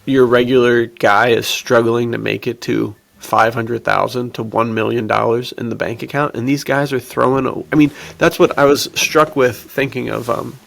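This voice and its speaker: A man's voice: medium pitch, little pitch movement, normal speed, creaky, hardly any pauses, fairly bright tone. A man chatting informally as a podcast host, in slangy talk sprinkled with "dude" and "like". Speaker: podcast host and adventure fiction writer. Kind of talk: casual film discussion chat.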